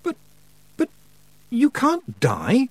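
A man voicing a young witch girl who is earnest, blunt and quick to object. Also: fairly high pitch, wildly swooping pitch, no room sound, pitched above the performer's own voice. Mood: startled, hesitant.

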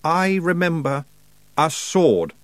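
A man's voice: fairly high pitch, big pitch swings, slow, no room sound, voice pushed up. A man voicing a proud, haughty teenage boy. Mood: earnest.

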